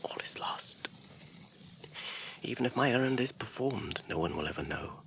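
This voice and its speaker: An actor playing a wounded, weary, haunted hobbit in a radio drama, speaking in a strained, earnest, halting way. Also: male voice, medium pitch, animated, whispered, close to an everyday voice. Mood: weary, despairing.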